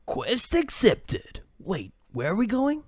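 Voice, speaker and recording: A man's voice: sits high, huge pitch swings, slow pace, no room sound, studio quality, exaggerated voice. A man voicing an eager, cocky young adventurer from a game, boastful in manner.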